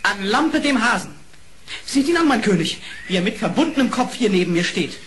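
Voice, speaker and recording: An actor playing a fiery lynx who serves as court marshal in a fairy-tale audio play, formal and theatrical. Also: male voice, sits high, very animated, fairly quick, noisy background. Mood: accusing. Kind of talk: German fairy-tale radio play acting.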